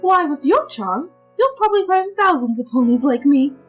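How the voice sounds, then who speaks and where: Genteel theatrical pony lady, very high pitch, animated swoops, slightly dull recording, music, audio drama. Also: moderate pace. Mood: forced cheer. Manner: theatrical, ladylike. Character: refined, dramatic female unicorn pony, genteel and affectionate.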